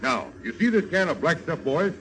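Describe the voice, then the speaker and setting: An actor playing a bossy, smooth-talking medicine show kidnapper in a coaxing showman's manner, in 1930s radio serial style. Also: fairly high pitch, very animated, moderate pace, fairly bright tone, low-quality recording. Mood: jovial, scheming. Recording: old radio serial recording.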